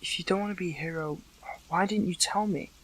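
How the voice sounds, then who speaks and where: A man playing a weakened captive young superhero in an intimate audio roleplay, hurt but earnest, speaking haltingly with emotion. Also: sits high, a bit bright, poor audio.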